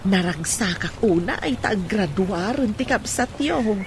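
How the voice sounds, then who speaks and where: A woman's voice: mid-range voice, expressive, a little slow, noisy background. A middle-aged woman playing a proud, hardworking mother in an Ilocano radio drama. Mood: proud, tender.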